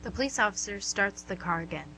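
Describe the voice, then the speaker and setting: A woman's voice: medium pitch, animated, quick pace, sharp tone, low-quality recording. A woman giving scripted narration, reading stage directions for a radio drama.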